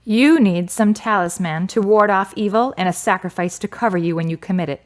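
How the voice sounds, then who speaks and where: A woman's voice: medium pitch, fairly quick, natural voice. A woman acting as a grieving daughter in a radio drama, guarded and skeptical, with a wry, blunt manner. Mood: skeptical, defiant.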